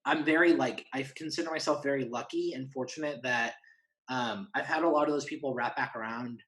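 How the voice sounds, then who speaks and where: A man with a mid-pitched voice speaks as a guest in a candid, casual conversation. His delivery is earnest and halting, with phrases restarted and thoughts often voiced as little quotes. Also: fairly bright tone, low-quality recording. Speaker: podcast guest.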